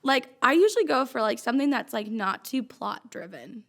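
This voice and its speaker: A young woman co-hosting a casual chat show, a little raspy from being sick, giggly and full of "like" fillers. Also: a little high, animated, moderate pace, a bit bright. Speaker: co-host.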